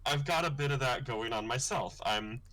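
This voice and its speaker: A man's voice: moderate pace, a bit bright, poor audio. A young man, a comic artist hosting his own livestream, talking thoughtfully and rambling a little with fillers. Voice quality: deep voice. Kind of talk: casual livestream chat.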